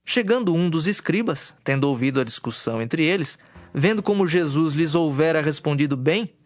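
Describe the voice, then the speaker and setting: A man's voice: fairly high pitch, lively intonation, moderate pace. A man giving scripted narration for a dramatized scripture recording.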